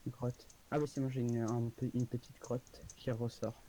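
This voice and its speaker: A young man chatting casually while playing a video game, reacting with quick excited exclamations. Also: medium pitch, slight background noise. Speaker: gamer. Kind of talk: casual gaming chat.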